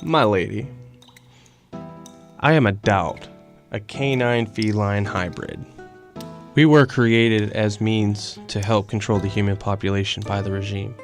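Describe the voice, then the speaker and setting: A man's voice: lowish voice, a little slow, pauses often, soft background music. A man playing a solemn canine-feline hybrid creature who speaks formally as a rebel ally in an audio drama. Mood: solemn, respectful. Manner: formal, courtly phrasing. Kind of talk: scripted audio drama dialogue.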